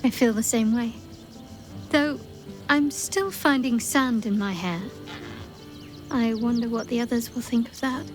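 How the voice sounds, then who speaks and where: An actress playing an affectionate, softly teasing lover in an intimate video game scene, gentle and halting. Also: female voice, on the high side, over music, close to an everyday voice. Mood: tender, playful.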